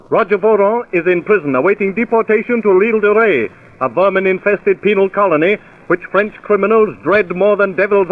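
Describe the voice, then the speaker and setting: A man delivering formal, dramatic scripted narration for an old-time radio crime drama. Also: sits high. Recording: tinny narrowband vintage radio recording.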